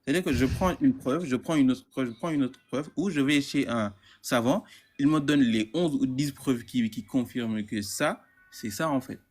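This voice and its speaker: A man's voice: mid-range voice, normal speed, darkish tone. A man caller in a heated debate, stammering and repeating words as he insists on his point.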